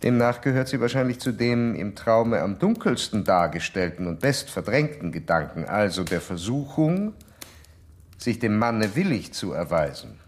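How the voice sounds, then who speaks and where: A man's voice: on the low side, sing-song, moderate pace. An actor playing a pompous male psychoanalyst in a radio drama, lecturing a patient in formal, pedantic, insistent terms. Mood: clinical, pedantic.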